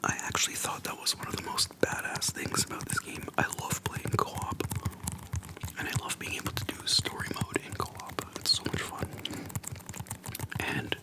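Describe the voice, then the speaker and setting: Asmr creator whispering softly right up on the mic, casual fan chat, slow n breathy. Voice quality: soft, breathy unvoiced whisper. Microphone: close microphone for ASMR.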